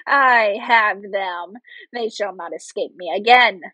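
A woman's voice: fairly high pitch, very animated, unhurried, breathy edge, no room sound, exaggerated voice, low-quality recording. A woman voicing a wicked old witch in a storybook, cruel and mocking, scolding and giving orders. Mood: mocking, gloating. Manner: scolding, commanding.

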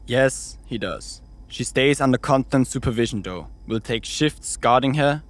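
A man's voice: mid-range voice, a little slow, lightly acted. A man acting a stern, cautious crew leader in an audio drama, curt, sardonic and authoritative. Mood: resigned, commanding.

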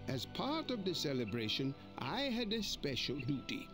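An actor playing an old male mandrill elder, narrating a storybook read-along in an expressive way. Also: a little high, normal speed. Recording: music playing behind.

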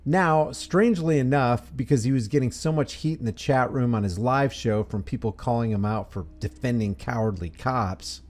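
Expressive mellow male narrator. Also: medium pitch. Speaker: commentary channel host. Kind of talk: scripted critical voice-over narration.

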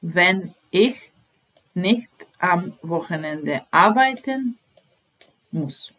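A woman's voice: lowish voice, lively intonation, drawn-out words, fairly bright tone. A woman in her thirties teaching a language lesson, patiently repeating phrases word by word at a webcam in a small room. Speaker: German teacher. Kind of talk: slow explanatory language lesson.

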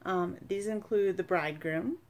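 A woman's voice: lowish voice, normal speed, a little mellow. A woman in her forties giving informal religious teaching to camera, explaining emphatically and often checking for agreement. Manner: explanatory, emphatic, seeking agreement with tag questions. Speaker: church retreat speaker, sacramental prep coordinator. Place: small home study, indoors.

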